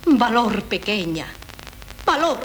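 Domineering mother, high swooping voice, melodramatic, indignant, old radio theatre, slight noise. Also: very animated, takes it slowly. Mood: grave. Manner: melodramatic, emphatic declamation. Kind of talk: 1970s Spanish radio theatre acting. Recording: noisy 1970s radio recording.

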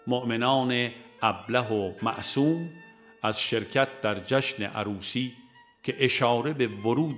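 A man reading a devotional audiobook in a measured, solemn, formal literary manner. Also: fairly low pitch, a bit bright. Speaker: audiobook reader. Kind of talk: scripted narration of a devotional text.

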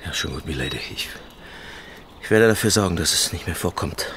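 Radio play role: plain gamekeeper, fairly low, clipped, respectful and guarded, slight ambience behind. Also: male voice, expressive, fairly quick, fairly bright tone, a little hiss or hum. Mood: guarded, deferential. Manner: terse, formally deferential. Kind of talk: radio drama acting.

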